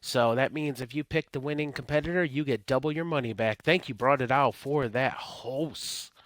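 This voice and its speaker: A man with a slightly hoarse, congested voice, casually hosting a livestream of a wrestling game league. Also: mid-range voice, moderate pace, fairly bright tone, no room sound. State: hoarse, getting over a cold.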